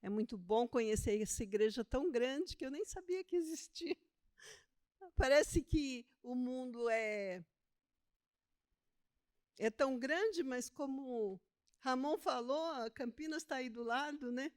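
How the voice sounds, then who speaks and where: A woman's voice: high voice, a little slow. Older Brazilian woman, laughing guest. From Northeast Brazil (Pernambuco). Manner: warm, self-deprecating, laughs easily. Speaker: guest author and theologian. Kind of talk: conversational interview.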